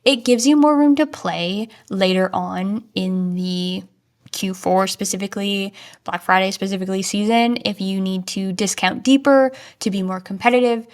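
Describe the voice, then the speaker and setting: A woman's voice: medium pitch, moderate pace. A woman hosting a solo marketing podcast, talking in an upbeat, chatty way with frequent casual fillers. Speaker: podcast host.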